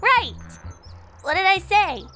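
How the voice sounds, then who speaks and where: A woman voicing a cheerful, scatterbrained female pegasus mail carrier in a squeaky, goofy cartoon voice for a storybook reading, with music behind. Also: sing-song, takes it slowly, pushed and bright, exaggerated voice.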